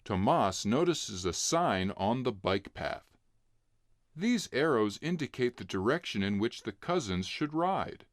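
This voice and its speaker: Male narrator for a kids' read-along, very lively intonation, unhurried, dry close sound. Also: mid-range voice, dry recording. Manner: expressive, clearly acting out dialogue. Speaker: narrator reading a children's book. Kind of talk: scripted storybook narration for young children.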